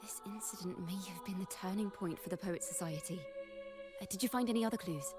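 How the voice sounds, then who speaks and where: An actress voicing a gentle, poetic young female bard in a video game, with lyrical, measured, earnest delivery. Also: medium pitch, natural voice. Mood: gentle, curious. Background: music.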